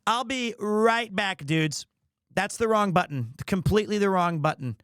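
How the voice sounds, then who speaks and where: A man's voice: high voice, lively intonation, unhurried, a bit bright, smooth, dry recording, clean studio sound. A man hosting a livestream, talking casually and jokingly. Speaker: livestream host. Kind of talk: casual stream chat.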